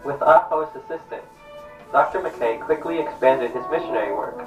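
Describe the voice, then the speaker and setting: A man narrates a puppet show from a script, heard from far off in a roomy space. His voice is medium in pitch, with music clearly playing behind it. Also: moderate pace. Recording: distant echoey muffled recording over music.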